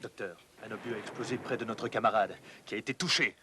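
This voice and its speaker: A man voicing an excitable companion to a doctor in an educational cartoon. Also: on the low side, fast talker, a little hiss or hum. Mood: anxious, pleading. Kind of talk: French-dubbed educational cartoon acting. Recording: music under the voice.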